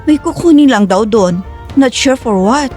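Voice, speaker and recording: A woman acts out an earnest young professional with a caring heart. Her high voice moves freely and expressively, in audio-drama style with music underneath. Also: animated, unhurried, darkish tone. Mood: concerned, gentle. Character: Yurika, earnest, caring self-made young woman dentist. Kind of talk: audio drama acting in Tagalog-English.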